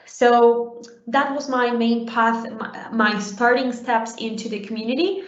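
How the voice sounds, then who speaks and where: A woman's voice: fairly high pitch, unhurried. A young adult woman from Uruguay giving a conversational conference talk, with many fillers and restarts. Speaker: conference speaker. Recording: roomy, dull lo-fi sound.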